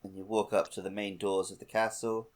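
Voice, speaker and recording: A man's voice: on the low side, level tone, brisk. A man co-hosting a podcast, casually talking through a video game. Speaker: podcast host.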